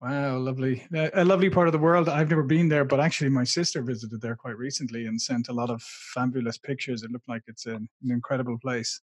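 A man's voice: medium pitch, fairly even intonation, fast, few pauses, husky, no echo. A middle-aged man with an Irish accent, hosting a casual video interview and laughing easily, on a video call from a room with a logo backdrop. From Ireland. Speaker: interview host.

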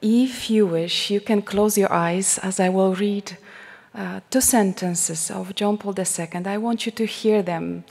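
Slow-speaking Polish-accented nun. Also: female voice, medium pitch, steady tone, creaky. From Poland. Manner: slow, heartfelt, drops to a hushed near-whisper in prayer. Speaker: religious sister giving a talk. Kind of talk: prayerful talk to a congregation. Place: large church shrine. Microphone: headset mic. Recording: long church reverb.

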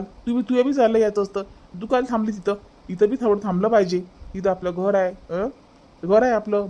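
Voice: medium pitch, a little mellow, lightly acted. A performer voicing a grudging village husband who repeats himself, in an amateur cartoon family comedy, with a Varhadi Marathi accent.

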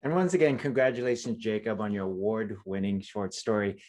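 A man's voice: lowish voice, fairly quick, a little mellow. A middle-aged man hosting an online event in a casual, chatty way with joking asides. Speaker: host, moderator. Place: indoor room on video call.

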